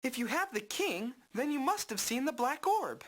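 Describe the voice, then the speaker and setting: A woman's voice: high voice, big pitch swings, normal speed. A woman voicing a small, earnest young game hero who speaks formally and politely. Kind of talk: 1990s game voice acting.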